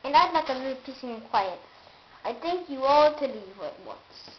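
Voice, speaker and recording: A woman's voice: high voice, animated, moderate pace. Woman playing cranky tiny old forest man, blustering and indignant, storybook read-aloud, roomy room, dull sound. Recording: roomy, dull, noisy home recording.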